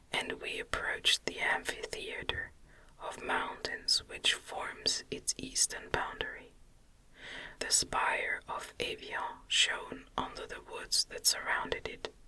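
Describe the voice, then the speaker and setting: Very slow, breathy ASMR whisper narrator. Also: rough recording. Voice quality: soft breathy unvoiced whisper. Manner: gentle, soothing, deliberate. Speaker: audiobook narrator. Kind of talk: ASMR whispered reading of a gothic novel.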